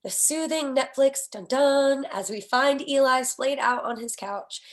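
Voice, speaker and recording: A woman's voice: sits high, unhurried. A woman narrating a screenplay's stage directions in a scripted read.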